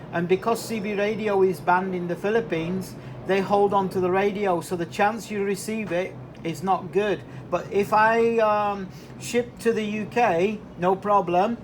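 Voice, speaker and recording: A man talking casually as a radio operator over a two-way link, hesitant and repeating words. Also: high voice. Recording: slightly noisy two-way radio transmission.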